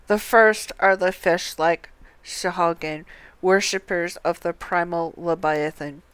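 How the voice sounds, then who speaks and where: A woman streamer reading fantasy game dialogue aloud in a measured, deliberate way, close to the microphone. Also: lowish voice, fairly even intonation, clean tone, no echo.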